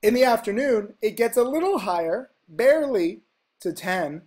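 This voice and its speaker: A man's voice: sits high, expressive, normal speed, a little mellow. Congested man rambling over stock charts. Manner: rambling, emphatic, fillers like "okay?". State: congested, stuffy nose. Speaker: stock trading educator. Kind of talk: casual screen-share chart walkthrough.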